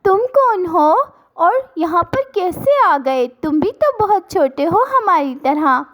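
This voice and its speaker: A woman's voice: very high voice, big pitch swings, takes it slowly, voice pushed up, exaggerated voice. A woman voicing an earnest, childlike little bird in a children's cartoon story. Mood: curious.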